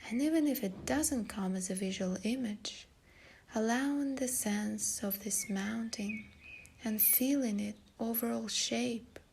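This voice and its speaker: A woman leading a guided meditation in a soft, breathy, soothing delivery. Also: fairly high pitch, unhurried, a little hiss or hum.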